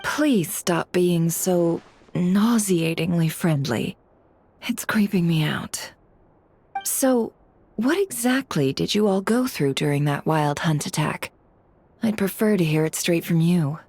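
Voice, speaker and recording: A woman voicing a cool, sardonic, detached dealer in secrets in a video game, with a husky, dry delivery. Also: lowish voice, animated, slow pace, darkish tone, a little hiss or hum. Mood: disdainful.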